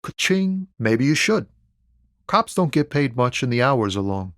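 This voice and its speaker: Game role: easygoing trucker-poet, mid-pitched, wry and musing, slangy, close dry recording. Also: male voice, sing-song, unhurried, a bit bright, dry recording. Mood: casual, guarded. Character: laid-back male lorry driver, wry amateur poet, stranded and philosophical. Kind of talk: video game character dialogue.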